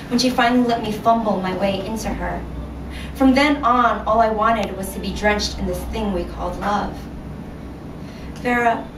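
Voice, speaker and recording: A woman author reading her short story aloud at a live event, measured and expressive, recorded in a room from a distance. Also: mid-range voice. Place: indoor venue at a reading series. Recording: roomy, noisy live recording from afar.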